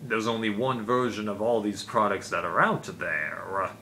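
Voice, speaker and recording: Casual man rambling over clear background noise. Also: lowish voice, moderate pace, pushed and bright. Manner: rambling, conversational, with asides. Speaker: video reviewer of home video releases. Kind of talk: casual unscripted collection vlog. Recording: clearly noisy amateur recording.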